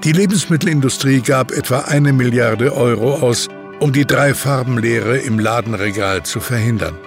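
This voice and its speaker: A man's voice: medium pitch, normal speed. Male documentary-style narrator over music.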